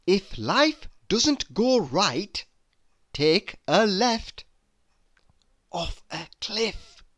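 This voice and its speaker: A man reading humorous quotes aloud in a deliberate, mock-inspirational manner. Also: high voice, sing-song, fairly bright tone. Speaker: reader.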